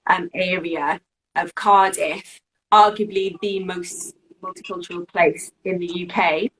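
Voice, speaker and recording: A woman's voice: fairly low pitch, steady tone, measured pace, fairly bright tone, a little hiss or hum, poor audio. A woman from Wales, a guest on a live video-call interview, talking casually with many fillers and restarts. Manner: chatty, lots of fillers and restarts.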